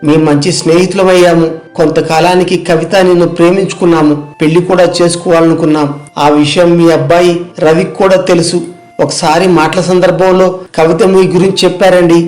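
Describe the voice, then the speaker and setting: A man's voice: sits high, little pitch movement, fairly quick, a little mellow, lightly acted. A man playing an earnest, idealistic young man who explains himself politely and sincerely in an audio story. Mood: sincere, confiding. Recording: music behind the voice.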